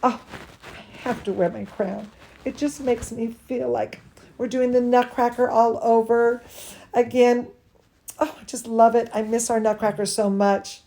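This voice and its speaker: A woman reading a bedtime story to children as their dance teacher, theatrical and gushing, with drawn-out emphasis. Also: a little high, steady tone, creaky, warm tone.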